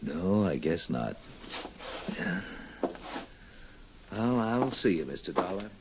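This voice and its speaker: An actor playing a kindly, remorseful and subdued lawyer in a 1950s radio drama. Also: male voice, low voice, sing-song, takes it slowly, exaggerated voice. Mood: subdued regret. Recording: muffled, faintly noisy 1950s radio recording.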